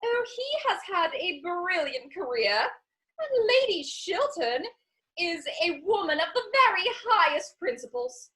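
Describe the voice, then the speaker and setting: A woman plays a breezy society lady in a period comedy. Her voice is extremely high and lilting, swinging widely as she gushes theatrically. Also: big pitch swings, unhurried, sharp tone, exaggerated voice, poor audio. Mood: breezy, gushing. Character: breezy, gushing female high-society socialite. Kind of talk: dramatic reading of a period stage comedy.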